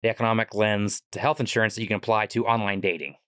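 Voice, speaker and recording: A man with a Pittsburgh accent, a guest on a podcast, explaining ideas quickly and animatedly and swearing casually. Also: fairly low pitch, fairly even intonation, a bit bright, clean tone, no echo, studio quality. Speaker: guest, economics professor and comedian.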